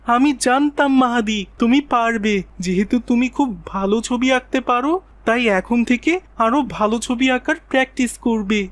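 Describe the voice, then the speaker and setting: A woman's voice: on the high side, moderate pace, slight background noise, natural voice. A woman voicing a supportive schoolboy in a moral cartoon story, giving a friendly, insistent pep talk. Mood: encouraging.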